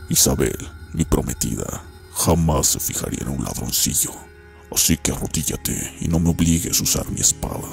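A man's voice: very deep voice, expressive, moderate pace, gravelly, soft background music, full character voice. A man playing a haughty, menacing older marquis in a historical audio drama, with formal, condescending old-fashioned speech. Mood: scornful, threatening.

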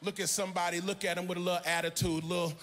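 A middle-aged American man preaching an animated, emphatic sermon as a pastor, theatrical, into a handheld microphone in a large church auditorium. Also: sits high, very fast, faint music behind. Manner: emphatic, theatrical, often shouting and half-singing.

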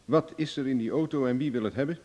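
An actor playing a cocky, cool-headed private investigator in a Dutch radio drama, glib, ironic and self-assured. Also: male voice, mid-range voice, quick pace, mellow, lo-fi sound, lightly acted. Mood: cool, bargaining. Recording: reverberant old radio play recording.

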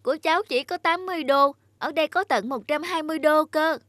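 A woman voicing an earnest, sensitive young boy in an animated story, polite and pleading in manner. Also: high-pitched, fast, dry recording, clean studio sound. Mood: puzzled. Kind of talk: Vietnamese animated moral story dubbing. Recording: music behind the voice.

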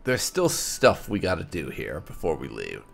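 A man's voice: rumbling low, huge pitch swings, normal speed, creaky, slight background noise. A man hosting a gaming channel, giving casual, rambling let's play commentary as he thinks aloud. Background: faint game music.

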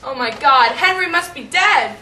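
A woman's voice: high-pitched, animated, measured pace, sharp tone, full character voice. A young teenage girl playing a worried, tender mother in an amateur school drama. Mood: distressed. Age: young teen. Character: worried, tender mother waiting for her husband. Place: small meeting room. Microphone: far camera. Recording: roomy, distant camera mic, faint noise.

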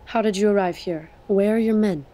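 Woman acting as defiant pious wife, mellow tone, formal, earnest, wary, period audio drama. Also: medium pitch, a little fast, close to an everyday voice. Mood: suspicious. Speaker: actor.

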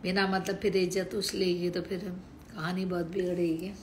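A hoarse woman from Haryana ranting crudely and combatively on a late-night live stream from home, swearing heavily into her phone. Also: lowish voice, level tone, moderate pace, background noise. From Haryana, India.

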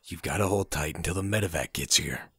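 A man's voice: sits low, a little fast, bright, forceful tone, slightly rough, no echo, full character voice. A man voicing a cold, stoic soldier and squad leader in an anime fan dub, speaking in a curt, restrained way. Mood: stern, restrained.

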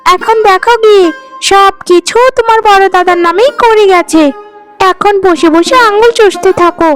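A woman's voice: very high voice, measured pace, fairly bright tone. A woman voicing a scheming, nagging younger daughter-in-law with a scolding, emphatic manner in a cartoon melodrama. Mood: scornful, resentful. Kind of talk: melodramatic cartoon story acting. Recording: music under the voice.

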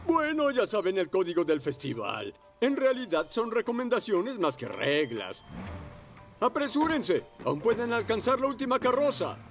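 Fussy, high-pitched cartoon police motorcycle. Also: male voice, wildly swooping pitch, normal speed, faint music behind, full character voice. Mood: flustered, amazed. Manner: officious, flustered, quotes regulations. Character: fussy rule-quoting male police motorcycle, adult, excitable. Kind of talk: children's cartoon dub acting.

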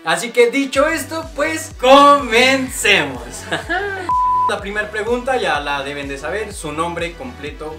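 A young man hosting a casual vlog interview at home, chatty and lively as he asks questions. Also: sits high, measured pace. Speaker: YouTuber host, her editor and manager. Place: small room at a home, plain backdrop. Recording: roomy echo with music behind.

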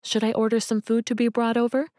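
Eager young heroine, read by a woman; quick replies, lifted and bright. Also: a little high, flat delivery, dry recording, studio quality, voice pushed up, lightly acted. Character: bubbly young woman writer, eager and flustered.

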